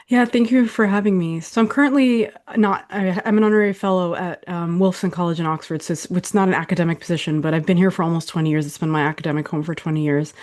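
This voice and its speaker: A woman's voice: fairly low pitch, steady tone, quick pace, hardly any pauses. Thoughtful historian woman from Saudi Arabia. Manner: thoughtful, warm, with soft laughs and fillers. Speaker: historian guest. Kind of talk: conversational interview answers.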